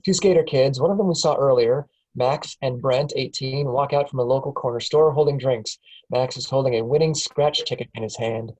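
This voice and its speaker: A man's voice: medium pitch, moderate pace, a little mellow, rough recording. A man reading scripted stage directions aloud as the narrator at a table read.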